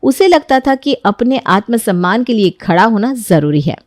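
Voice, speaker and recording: A woman narrates a moral cartoon story in a steady, storytelling manner. Her voice sits a little high and is recorded close and dry. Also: moderate pace, no room sound. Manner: even, storytelling.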